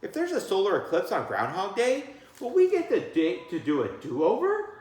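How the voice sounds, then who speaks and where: Man acting young storybook groundhog pupil, raised pitch, eager, questioning, echoey room. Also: huge pitch swings, moderate pace, darkish tone. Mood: eager, curious.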